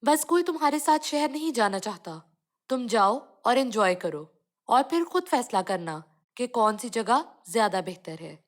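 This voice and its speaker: A woman's voice: a little high, very animated, measured pace. A woman voicing a blunt, challenging village man in a children's cartoon story.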